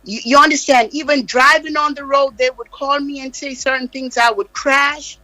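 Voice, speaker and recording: Passionate high-voiced Jamaican woman. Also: steady tone, moderate pace, bright, forceful tone, no echo, low-quality recording. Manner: passionate, insistent, emphatic. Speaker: interview guest. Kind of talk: heated interview talk. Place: radio studio.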